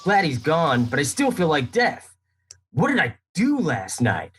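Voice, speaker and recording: An actor playing a hungover, cranky young float racer with a husky voice and exasperated, whiny delivery in a comic radio drama. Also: medium pitch, huge pitch swings, measured pace, fairly bright tone, a little hiss or hum. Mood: groggy, grumpy.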